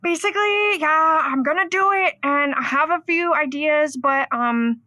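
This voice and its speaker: A woman's voice: sits high, unhurried, sharp tone, no echo, clean studio sound. A woman playing a bubbly, lovestruck youthful caller in an improvised comedy radio show, gushing in casual slang. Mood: excited, smitten.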